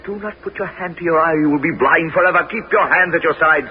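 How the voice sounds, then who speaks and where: A man's voice: a little high, quick pace, lightly acted. An actor playing the cunning hero king Ulysses in a 1970s radio drama, with a commanding, theatrical delivery. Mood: scheming. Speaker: narrator and lead character. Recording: dull old radio broadcast with loud hiss.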